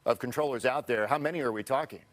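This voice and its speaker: A man's voice: medium pitch, fast. A man with an American accent working as a television news anchor, reading news and interviewing live.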